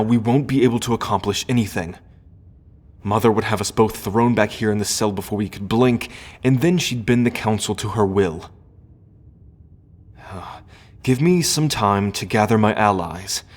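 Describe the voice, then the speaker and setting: A man playing an earnest, loyal prince in a fantasy audio roleplay, speaking in a formal, measured and hesitant way. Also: lowish voice. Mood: resolute, careful. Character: earnest, grieving prince, loyal sibling of the dragon heir.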